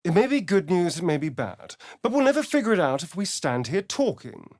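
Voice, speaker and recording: A man's voice: sits high, very animated, a little fast, a little mellow, no echo, clean studio sound. A man with a British accent playing a sly, vain vampire spawn rogue in a video game, with an arch, sardonic, theatrical delivery.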